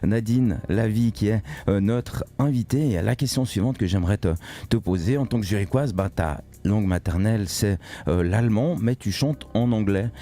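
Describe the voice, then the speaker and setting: A man's voice: fairly low pitch, brisk, hardly any pauses, warm tone. A man hosting a radio talk show, chatty and full of hesitations. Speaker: radio host.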